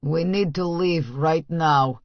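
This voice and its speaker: A woman voicing a huge, menacing man with a deep, ominous delivery in an audiobook. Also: slow pace, no room sound, muffled recording. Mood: ominous, urgent.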